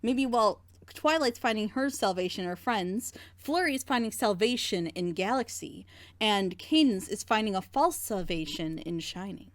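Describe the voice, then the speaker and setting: A talkative woman hosting a casual review chat. She speaks at a middle pitch and gives opinions freely. The sound is close and dry, with no room around it. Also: lively intonation, moderate pace, no echo. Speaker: host of a group reaction show. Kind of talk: casual group discussion and critique.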